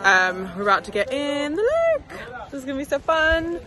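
A woman's voice: high voice, normal speed, loud music behind the voice. A young woman travel vlogger chatting to viewers while holding an action camera at arm's length outdoors. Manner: chatty, animated, addresses viewers directly. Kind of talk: casual vlog narration. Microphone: handheld action camera at arm's length.

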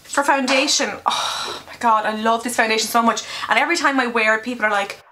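A young woman chatting casually through a makeup tutorial, candid and quick to swear, a little nasal from a cold, recorded by a camera in a small bedroom. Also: on the high side, bright, forceful tone, rough recording. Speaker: beauty vlogger.

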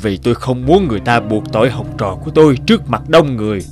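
An actor playing a kind, patient, firm elderly male teacher in an animated drama. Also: mid-range voice, fast, faint music behind, close to an everyday voice. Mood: earnest, encouraging.

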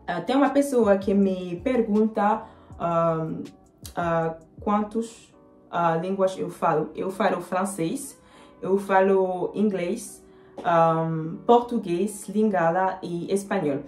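Slow, hesitant woman with French accent. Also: lowish voice, a little mellow, echoey room, faint music behind. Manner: hesitant, many fillers, switches into English. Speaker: vlogger. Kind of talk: casual chat to camera, answering comments. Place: small indoor room.

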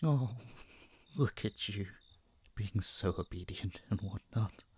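A man playing an obsessive, doting yet menacing masked kidnapper in an audio roleplay, speaking softly and tauntingly to his captive. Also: on the low side, a little hiss or hum. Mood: taunting, possessive. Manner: soft, taunting, condescending, drawn-out murmurs and whispers. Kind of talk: intimate audio roleplay monologue addressed to the listener.

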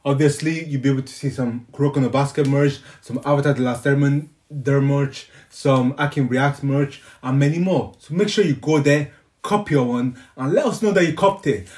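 A man's voice: mid-range voice, moderate pace, a little mellow. A young man hosting a reaction channel, chatting casually to camera from a room at home. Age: young adult. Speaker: YouTube reaction channel host. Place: small room at home, on a couch.

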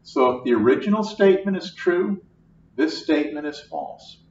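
Older man, math lecture, slow patient repetition, distant echoey classroom, dull lo-fi sound. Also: on the high side, animated. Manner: slow, patient, repeats each point.

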